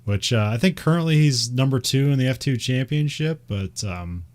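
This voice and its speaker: A man's voice: mid-range voice, expressive, moderate pace, darkish tone. A man with a Midwestern American accent, chatting casually and rambling, with frequent laughs and fillers. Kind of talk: casual chat about racing.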